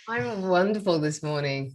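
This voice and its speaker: A woman's voice: lowish voice, lively intonation, moderate pace. A middle-aged woman, a spiritual teacher and medium, telling her life story candidly over a remote call. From Australia.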